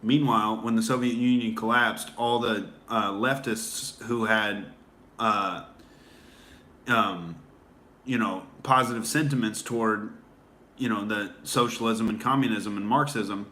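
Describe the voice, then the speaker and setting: Bright-voiced rambling male streamer. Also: fairly low pitch, steady tone, unhurried. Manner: rambling, many fillers and restarts. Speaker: livestream host. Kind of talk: casual livestream chat reading viewer comments.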